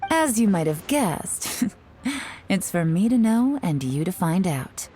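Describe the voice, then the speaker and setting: Low-voiced, shrewd female secret agent. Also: very animated, mellow, breathy edge, heavily acted. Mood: playful, aloof. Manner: composed, measured, sly. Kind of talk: video game voice acting.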